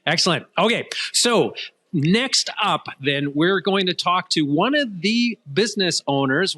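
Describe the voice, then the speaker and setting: A man's voice: fairly high pitch, bright, forceful tone, no room sound. A man, hosting a conversational interview at an event. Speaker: interviewer, show host.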